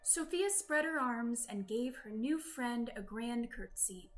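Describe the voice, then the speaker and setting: A woman reading a children's story aloud in an expressive, deliberate, dramatic way. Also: on the high side, faint music behind, rough recording. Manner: deliberate, dramatic delivery with acted-out sound words. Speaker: storybook reader.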